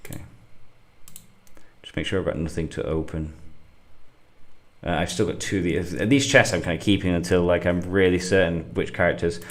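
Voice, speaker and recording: A middle-aged man, getting over a cold and a bit sniffly, talking through a game on a livestream in a halting way, thinking aloud with lots of fillers. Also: sits low, fairly even intonation. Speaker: gaming livestream host. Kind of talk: casual gameplay commentary.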